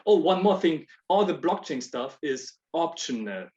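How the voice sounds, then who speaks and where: A man presenting his project on a video call, talking in halting bursts with frequent restarts and laughs between points. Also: a little high, big pitch swings, a little mellow. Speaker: project proposer. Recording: dull lo-fi call audio.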